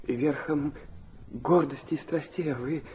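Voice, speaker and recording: An actor playing an impulsive, awkward teenage youth in a classic audio drama, with earnest, halting declarations. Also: male voice, fairly high pitch, heavy background noise, natural voice. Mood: ardent.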